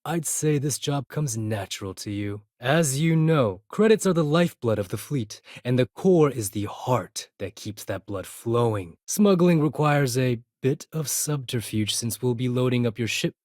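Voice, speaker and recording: A man's voice: mid-range voice, animated, normal speed, few pauses, a little mellow, no room sound, clean studio sound, lightly acted. A man playing a proud, sardonic accountant for a space pirate fleet in a video game, speaking in a precise, formal, condescending way. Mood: businesslike, smug. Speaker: video game character voice actor. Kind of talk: scripted game dialogue.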